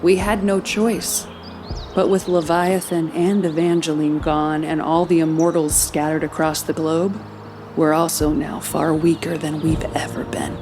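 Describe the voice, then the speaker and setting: A woman's voice: deep voice, level tone, unhurried, noisy background. An actress playing a caring, determined woman leader in an audio drama, speaking in a frank, earnest and tender way. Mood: grave, resolute. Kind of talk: naturalistic audio drama acting. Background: music.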